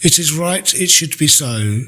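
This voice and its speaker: A man reading poetry aloud in a deliberate, measured way with pauses between phrases. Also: fairly high pitch, animated, sharp tone. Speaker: poetry reader. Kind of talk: poetry recitation, read aloud.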